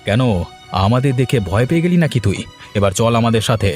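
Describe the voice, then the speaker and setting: A man's voice: medium pitch, expressive, brisk, faint music behind, natural voice. A man playing a stern, tough village police officer with a commanding manner in a cartoon melodrama. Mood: threatening. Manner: commanding, authoritative.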